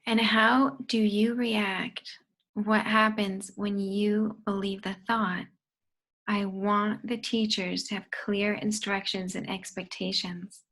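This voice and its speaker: Woman facilitator, deliberate gentle pacing, guided questioning. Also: mid-range voice, little pitch movement. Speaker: facilitator guiding the inquiry.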